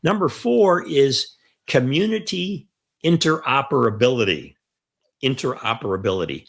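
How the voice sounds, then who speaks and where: A man's voice: mid-range voice, lively intonation, normal speed, gravelly, fairly bright tone. A mature man co-hosting a radio hobby show, talking conversationally through a list of points and repeating key phrases for emphasis. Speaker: co-host of a ham radio show. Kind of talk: conversational explanatory talk, counting off points.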